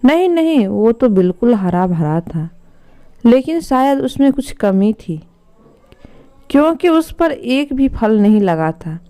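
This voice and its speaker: A woman's voice: on the high side, very animated, slow pace, dark tone, heavily acted. A woman voicing insistent young princes who argue over what they saw, in a storybook tale. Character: young princes, sons contradicting each other to father.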